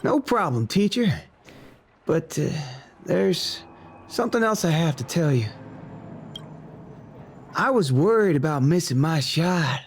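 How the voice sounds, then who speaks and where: Slow-drawling young Southern cowboy gunslinger. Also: male voice, a little high, lively intonation, a little hiss or hum. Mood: cocky, defiant. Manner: folksy drawl, taunting. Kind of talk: video game voice acting. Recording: game music under the voice.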